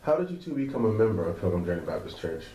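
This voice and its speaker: A man asks oral history interview questions slowly and deliberately. His voice is lowish and mellow, heard from a distance. The room has an echo and a faint hiss. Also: sing-song. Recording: echoey room, distant from mic, faint noise.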